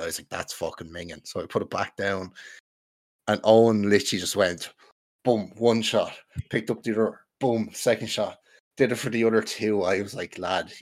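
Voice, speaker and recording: A man's voice: fairly low pitch, fairly even intonation, moderate pace, no room sound. An Irish man chatting casually with friends as a podcast host, telling stories bluntly with plenty of swearing.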